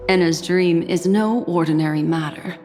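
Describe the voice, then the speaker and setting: An actress playing a composed, enigmatic mystic woman in a video game, grave and deliberate in her delivery. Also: on the low side, darkish tone, lightly acted. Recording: long reverb, music underneath.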